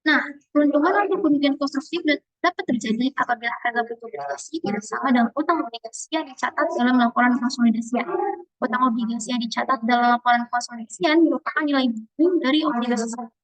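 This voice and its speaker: Fast, high-voiced young woman. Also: no room sound, poor audio. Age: young adult. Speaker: student presenter. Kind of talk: explaining exercise answers in a class presentation.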